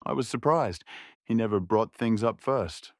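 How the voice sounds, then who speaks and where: A young man narrating a drama in the first person, in a quiet, reflective way. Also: fairly low pitch, a little slow, dry recording, studio quality.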